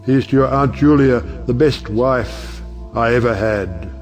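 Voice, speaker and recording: An actor playing a jovial, sentimental older judge in an audio play, declaiming in a drawn-out, theatrical way. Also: male voice, medium pitch, soft background music, close to an everyday voice. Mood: exuberant.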